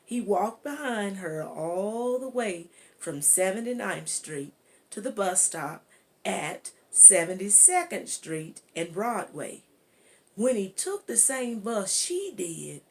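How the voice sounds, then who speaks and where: A woman reading a children's chapter book aloud as an amateur narrator, in a deliberate manner. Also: medium pitch. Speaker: amateur audiobook reader.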